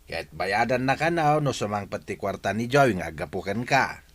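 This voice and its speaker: Man acting a gossipy neighbour with animated delivery. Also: on the low side, moderate pace, breathy edge. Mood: gossipy, disapproving. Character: gossipy, sympathetic male neighbour. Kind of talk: Ilocano radio-style audio drama acting.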